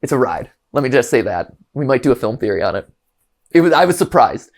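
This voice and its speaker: Man from Ohio, show host, casual chat, mid-pitched, lively, stumbling restarts. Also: fast. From Ohio, USA. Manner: animated, rambling, many restarts and chuckles.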